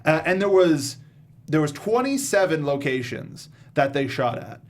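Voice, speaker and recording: A man's voice: a little high, very animated, a little slow. A man hosting a casual panel discussion reviewing movies. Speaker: host.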